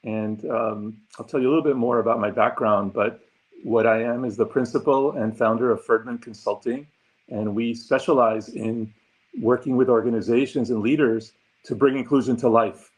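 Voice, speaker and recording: A man in his sixties from Argentina whose first language is Spanish, speaking from his home office as an interview guest, thoughtful and often pausing with ums and uhs. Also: medium pitch, fairly even intonation, rough recording. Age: 60s. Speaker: guest, consultant. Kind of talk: conversational interview answers.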